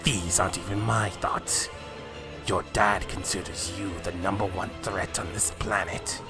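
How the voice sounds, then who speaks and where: A man acting as a cruel anime villain, deep and unhurried, savoring every taunt with mocking menace. Loud music plays behind him as he gloats. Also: steady tone. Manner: mocking, slow, menacing, chuckling. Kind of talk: fan-made anime roleplay drama acting. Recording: music playing loudly behind the voice.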